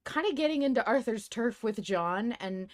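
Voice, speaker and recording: A woman's voice: fairly high pitch, animated, normal speed. A woman speaks as a podcast host in a loose fan discussion. She is emphatic and conversational, and her casual talk is salted with swearing.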